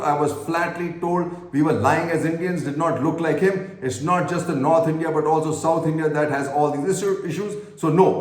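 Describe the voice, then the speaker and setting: Man, earnest reader, slightly high voice, stumbles and corrects himself; roomy echo. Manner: earnest, stumbles and self-corrects while reading. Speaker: commentator reading an essay aloud. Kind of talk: reading aloud with personal asides.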